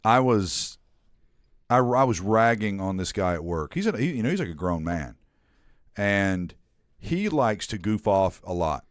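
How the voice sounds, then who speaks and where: A man's voice: lowish voice, sing-song, unhurried, dry recording, studio quality. A young man hosting a casual podcast chat, joking and rambling as he tells stories. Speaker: podcast host.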